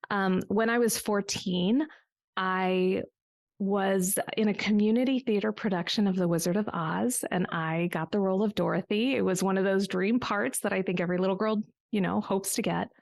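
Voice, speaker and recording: Podcast guest, woman, forties, reflective storyteller, some vocal fry. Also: medium pitch, steady tone, normal speed, a little mellow, no room sound, studio quality. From United States. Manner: reflective storytelling with small restarts. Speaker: business coach guest. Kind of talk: conversational podcast interview.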